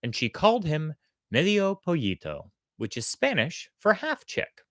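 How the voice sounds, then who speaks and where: A storytelling show host explaining and narrating in a conversational, expressive, thoughtful manner. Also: medium pitch, unhurried, no room sound, studio quality.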